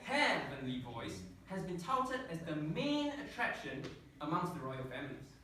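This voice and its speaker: A man's voice: fairly high pitch, sing-song, normal speed. A man playing a pompous, squeamish young prince, declaiming grandly in a comic children's fairy tale. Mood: gallant. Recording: echoey room from far off, noisy.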